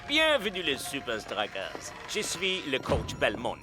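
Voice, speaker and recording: An actor playing a theatrical coach of a spooky castle stadium, telling things in a grave, drawn-out, ominous way in French cartoon dubbing. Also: male voice, mid-range voice, huge pitch swings, noisy background. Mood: grandly welcoming.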